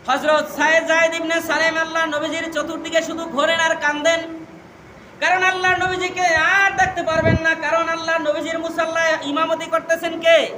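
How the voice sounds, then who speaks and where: A male Islamic preacher with a Bangladeshi Bengali accent speaks in an extremely high, piercing register. His delivery is declamatory and emotional, with phrases repeated for emphasis. The sound carries long reverb over some background noise. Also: bright, forceful tone. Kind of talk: impassioned religious sermon with storytelling.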